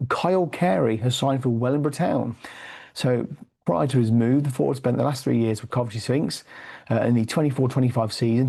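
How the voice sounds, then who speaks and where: A man's voice: mid-range voice, warm tone. A man who has lost his voice to a cold, reviewing football league news with many fillers and restarts. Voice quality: hoarse, gravelly. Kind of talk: weekly football review, read from notes.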